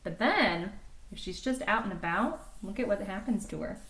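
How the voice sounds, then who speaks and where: A woman reading a picture book aloud to young children in an animated way, pausing to explain and ask questions like a teacher. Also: mid-range voice. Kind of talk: expressive storybook read-aloud with chatty explanations to children.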